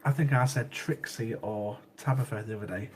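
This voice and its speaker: Man, sniffly cold, rambling livestream host, mid pitch, faint hiss. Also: normal speed. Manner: chatty, repeats himself, laughs easily. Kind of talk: casual chat with live chat viewers.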